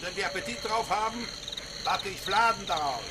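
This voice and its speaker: An actor as boisterous, high-voiced hungry adventurer. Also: male voice, a little fast, heavy background noise, lightly acted. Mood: eager, hearty. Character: hearty, hungry male adventurer and hunter. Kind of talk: radio drama acting. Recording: loud outdoor ambience behind the voice.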